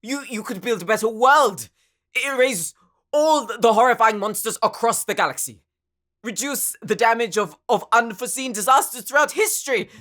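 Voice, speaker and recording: A woman playing an excitable, high-strung tech expert in an audio drama, flustered, emphatic and dramatic. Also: moderate pace. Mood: astonished. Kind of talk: anime-style audio roleplay acting.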